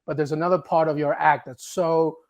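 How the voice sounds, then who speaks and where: A man's voice: on the high side, steady tone. A man from Peru, a native Spanish speaker, hosting a football show and interviewing a guest with upbeat, enthusiastic questions. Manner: upbeat, warm praise, quick questions. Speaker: sports show host.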